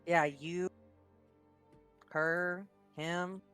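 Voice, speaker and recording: A woman chatting casually with her gaming group in a relaxed, teasing way. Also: fairly low pitch, very slow, smooth, faint music behind.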